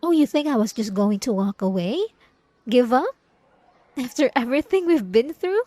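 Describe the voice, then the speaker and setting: A woman's voice: fairly high pitch, lively intonation, dark tone, no echo. A woman playing a possessive, gaslighting ex-girlfriend in an ASMR roleplay, coy and mock-innocent with a sweet menace, speaking straight to the listener. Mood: indignant, cloying. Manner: coy, teasing, mock-innocent, drawn-out pet names.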